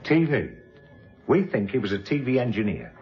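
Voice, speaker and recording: An actor playing a gruff, impatient British detective chief inspector in a radio drama, with brusque, colloquial questioning. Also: male voice, medium pitch, big pitch swings, normal speed, natural voice. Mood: probing. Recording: dull lo-fi radio recording, faint noise.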